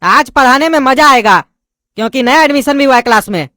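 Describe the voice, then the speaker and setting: A woman's voice: high voice, lively intonation, a little fast, sharp tone, no room sound, clean studio sound. A woman voicing an impatient male teacher who scolds and mocks his students, with a lilt. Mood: scolding. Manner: scolding, sarcastic.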